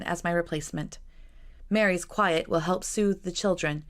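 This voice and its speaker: A woman's voice: lowish voice, lightly acted. A woman voicing a headstrong, protective young sister in a Regency storybook, speaking in a firm, formal, insistent way.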